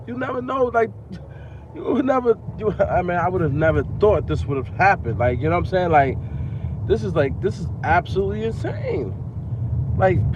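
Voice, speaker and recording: Groggy man rapping about life from his car. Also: on the high side. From Long Island, New York. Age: 40s. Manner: loose, repetitive, street-slang musing with many fillers. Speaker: rapper and vlogger. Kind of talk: casual talking-to-camera rant. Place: car at night. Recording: dull lo-fi phone audio with car noise.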